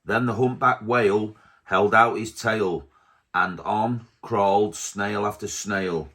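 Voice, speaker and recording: A man reading a rhyming picture book aloud to his baby at home, slowly and gently. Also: on the low side, slightly rough. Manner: slow, gentle storybook reading.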